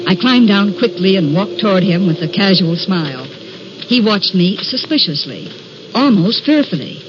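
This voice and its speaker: A woman's voice: mid-range voice, animated, normal speed, noisy background, lightly acted. An actress playing a curious, self-possessed young woman writer in an old-time radio drama, speaking articulately and theatrically. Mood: haunted, dramatic. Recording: dull vintage radio recording with music.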